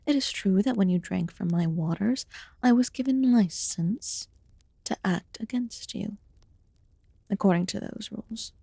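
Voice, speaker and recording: A woman voicing an aloof, curious fae witch healer in a fantasy ASMR roleplay, speaking formally and softly, often dropping into a whisper. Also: medium pitch, creaky, husky, no echo. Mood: grave, measured. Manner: formal, archaic, deliberate phrasing.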